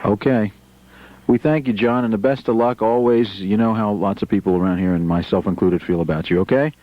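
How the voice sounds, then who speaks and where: Friendly radio host on a dull broadcast. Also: male voice, on the low side, steady tone, a little fast, dry recording. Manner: friendly, stammers and restarts questions. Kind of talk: live on-air phone interview. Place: radio studio.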